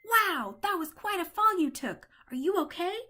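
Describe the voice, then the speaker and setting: A woman's voice: extremely high pitch, moderate pace, voice pushed up, full character voice. Woman doing a boastful, eager young male puppy. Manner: excited, boastful, barking.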